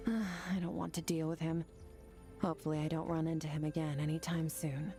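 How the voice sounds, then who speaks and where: Game role: aloof young swordswoman, stoic and detached, low register, measured delivery over soft music. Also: level tone. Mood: cool, indifferent. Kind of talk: scripted video game character monologue. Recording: game audio with music underneath.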